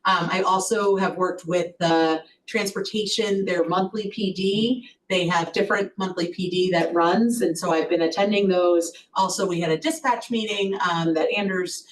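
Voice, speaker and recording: Loud-voiced woman giving a board report. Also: fairly low pitch, steady tone, normal speed, few pauses. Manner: chatty, warm, many fillers and restarts. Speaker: collaborative executive director. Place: meeting room. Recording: distant mic with faint noise.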